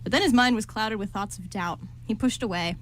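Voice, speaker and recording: A woman's voice: mid-range voice, a little fast. A woman reading scripted story narration for an amateur radio play. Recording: clearly noisy amateur recording.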